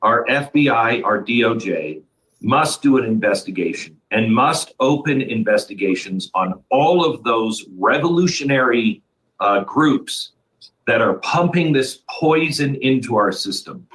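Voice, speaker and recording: A man's voice: medium pitch, lively intonation, a little slow, a bit bright, low-quality recording. A man speaking on a political talk show in an earnest, urgent manner.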